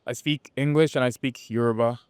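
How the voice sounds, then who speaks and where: Nigerian-born man, student, relaxed answers, smooth resonant voice, dry sound. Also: medium pitch, fairly quick, no echo. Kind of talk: casual interview answers.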